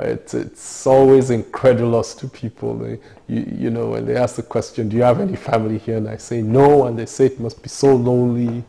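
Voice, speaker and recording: Guy, middle-aged, gravelly low mellow voice, lots of ums and restarts. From Nigeria. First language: Yoruba. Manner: thoughtful, hesitant, many fillers and restarts. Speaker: interviewee. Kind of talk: oral history interview.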